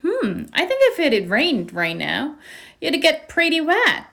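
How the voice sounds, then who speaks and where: Storybook grown-up female played by a girl, measured and deliberate, fairly high, lively intonation. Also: creaky, exaggerated voice. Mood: gently frank.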